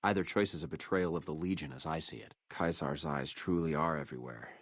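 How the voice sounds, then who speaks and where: An actor playing a proud, menacing captured military officer in a video game, with a measured, contemptuous delivery. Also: male voice, very deep voice, fairly bright tone, dry recording, clean studio sound. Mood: bitter, proud. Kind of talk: video game character dialogue.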